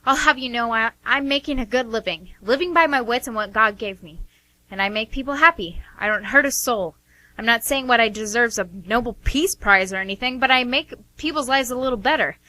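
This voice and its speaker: An actress playing a defiant, indignant sister in a radio drama, protesting sharply. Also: female voice, fairly high pitch, fairly quick, pushed and bright, no echo, poor audio. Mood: indignant, proud. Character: defiant, indignant younger woman, bullied sister. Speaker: actress in a radio play, also reading stage directions. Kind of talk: amateur radio drama acting.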